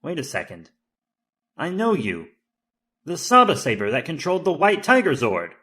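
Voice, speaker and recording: Man playing a bold, high-pitched young superhero. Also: wildly swooping pitch, normal speed, pushed and bright, full character voice. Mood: startled. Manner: declamatory, heroic. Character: earnest, bold young male tokusatsu hero. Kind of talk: audio drama superhero acting.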